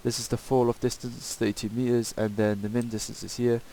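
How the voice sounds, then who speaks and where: A man giving a casual, improvised software tutorial in a hesitant, rambling way. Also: mid-range voice, little pitch movement, brisk, low-quality recording. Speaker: tutorial presenter. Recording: hissy home microphone recording.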